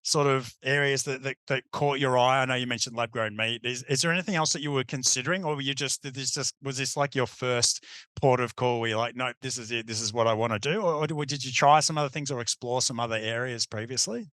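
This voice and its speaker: A man hosting a podcast interview, asking questions eagerly and backing his guest with quick affirmations. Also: medium pitch, steady tone, a bit bright, no echo. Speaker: podcast host.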